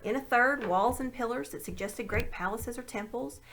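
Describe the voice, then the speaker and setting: A woman reading a children's story aloud in an expressive narrating style. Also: on the high side, normal speed, slight background noise. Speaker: amateur audiobook reader.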